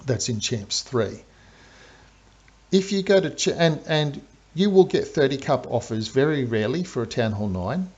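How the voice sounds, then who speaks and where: A man with an Australian accent, a game streamer chatting through live commentary and explaining strategy step by step. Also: medium pitch. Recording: dull lo-fi stream audio.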